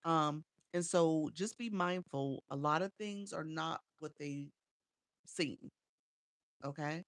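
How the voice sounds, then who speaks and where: Woman, from Chicago, livestream coach, gravelly edge, dry close sound. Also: deep voice, slow, dry recording. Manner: chatty, reads comments aloud and answers them, frequent "um" and laughs. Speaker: live-streaming coach. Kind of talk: casual livestream chat, answering viewer comments. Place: small home setup. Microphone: small desk microphone.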